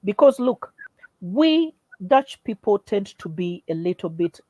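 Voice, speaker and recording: A man's voice: high-pitched, big pitch swings, a little slow, dark tone, no echo, low-quality recording. A man invited as an education expert in an online discussion, explaining things in a hesitant, affirming way with frequent restarts over a video call.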